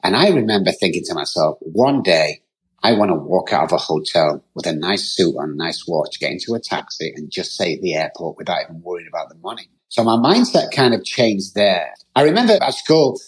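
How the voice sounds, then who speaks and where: A middle-aged man from Manchester speaks with a husky, slightly low voice. He tells his story as a podcast guest, open and earnest, drifting from one memory to the next. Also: animated, fairly quick, low-quality recording. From England.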